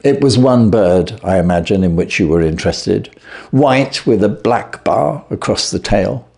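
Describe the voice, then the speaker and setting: A man voicing a shrewd, calm gentleman detective who speaks blandly and with sweet irony. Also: lowish voice, unhurried, gravelly. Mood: cheery. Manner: bland, sweetly ironic, assured.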